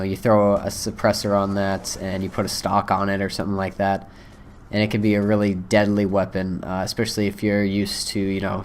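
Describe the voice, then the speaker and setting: A young man, hoarse from a cold, casually rambling through his first impressions with lots of ums and uhs. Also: sits low, a little hiss or hum. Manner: rambling, many fillers. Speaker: gaming video creator.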